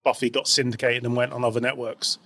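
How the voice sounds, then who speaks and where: A man's voice: medium pitch, flat delivery, quick pace, pushed and bright. A British man speaking casually and informally as a podcast co-host, freely giving his opinions. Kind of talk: casual chat.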